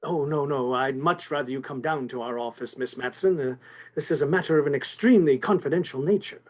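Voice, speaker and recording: An actor playing a fussy, anxious store executive in a 1950s-style radio play, speaking formally with polite hesitations. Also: male voice, medium pitch, a little fast, lightly acted. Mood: worried, guarded.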